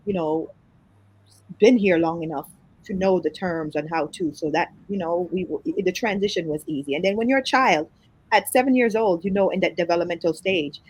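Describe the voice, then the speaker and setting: A Jamaican woman with a voice sitting a little low talks through an interview in a relaxed, chatty way. She laughs easily and drifts into fillers between her thoughts. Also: husky, rough recording. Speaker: guest. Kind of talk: casual interview conversation.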